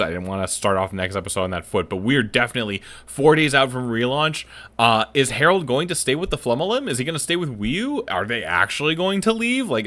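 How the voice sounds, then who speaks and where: A man hosting a gaming video with casual commentary and animated asides and reactions. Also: medium pitch. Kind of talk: casual let's-play commentary, reading game messages aloud.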